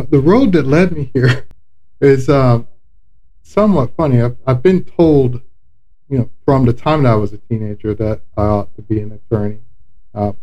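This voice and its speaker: A man from South Florida who works as an attorney, speaking in a measured, articulate way on a podcast. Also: medium pitch, dark tone, muffled recording. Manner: measured and articulate, with some fillers. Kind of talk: casual podcast interview.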